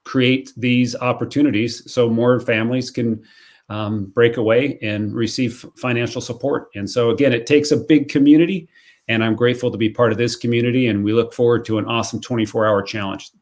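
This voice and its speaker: Male guest, earnest and heartfelt, ordinary mid-range pitch, plenty of ums. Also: steady tone, a little fast. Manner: earnest, grateful, many fillers. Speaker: charity founder guest. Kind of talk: casual podcast chat.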